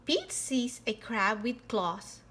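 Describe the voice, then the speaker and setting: High, sing-song woman reading a storybook. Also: lively intonation, slow pace, bright, forceful tone, a little hiss or hum. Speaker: children's storybook reader. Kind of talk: expressive read-aloud narration for young children.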